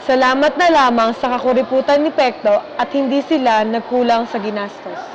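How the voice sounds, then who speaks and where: A woman's voice: fairly high pitch, a little fast, highs cut off. A Filipino woman reading scripted narration for a radio drama. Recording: faint background noise.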